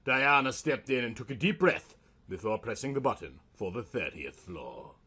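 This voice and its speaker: A man's voice: medium pitch, big pitch swings, normal speed, bright, forceful tone, breathy edge. A man narrating a scripted comic story in a melodramatic, mock-serious manner.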